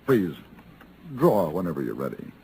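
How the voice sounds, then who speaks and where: Male hero for a radio Western: a courteous, commanding gunfighter, low voice with wide swings, noisy vintage recording. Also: big pitch swings, normal speed, darkish tone. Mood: coolly commanding. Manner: formal, precise, courteous. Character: cool, cultured, commanding gentleman gunfighter. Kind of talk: old-time radio Western acting.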